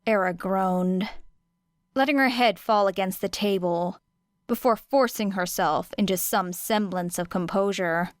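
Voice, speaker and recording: Dry recording, female audiobook narrator, slow delivery, expressive scripted storytelling, dramatic deliberate phrasing. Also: mid-range voice, no echo.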